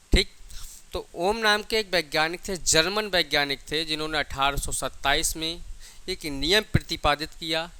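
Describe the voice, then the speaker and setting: A man's voice: on the high side, sharp tone, clear, resonant tone. A man teaching a physics lesson, dictating definitions, repeating key phrases and answering his own questions. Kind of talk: classroom lecture with dictated definitions.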